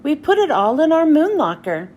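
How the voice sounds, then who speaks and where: A small friendly storybook moon man, read by a woman. The voice is high and chatty, with faint noise behind it. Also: very animated, moderate pace, a little mellow. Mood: helpful. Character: pair of small friendly cartoon chipmunk moon men. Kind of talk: children's storybook read-aloud.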